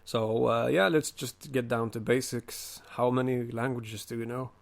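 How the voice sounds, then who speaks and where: A man's voice: medium pitch, steady tone, normal speed, creaky. A man hosts a casual podcast interview. He teases his guest and often trips over the start of his questions, restarting them mid-sentence. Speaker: podcast host.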